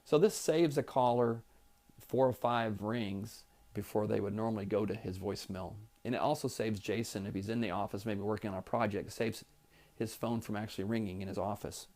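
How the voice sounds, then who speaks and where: A man's voice: on the low side, fairly even intonation, a little fast, a little mellow. A man giving a step-by-step, plain-spoken instructional tutorial as a trainer demonstrating office equipment.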